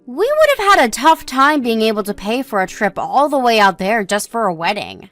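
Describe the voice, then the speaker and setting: A woman playing a gentle, modest young wife in a scripted audio story, talking in a reassuring, explanatory way. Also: fairly high pitch, sing-song, normal speed, no echo, soft background music. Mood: explaining, friendly.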